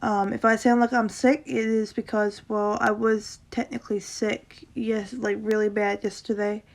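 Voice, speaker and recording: A woman with a sore throat reads a horror story in a casual way, her voice gravelly and slightly raspy. Her delivery stays fairly flat and even. She often trips over words, corrects herself and adds quick casual reactions between passages. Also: mid-range voice. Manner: stumbles and corrects herself while reading.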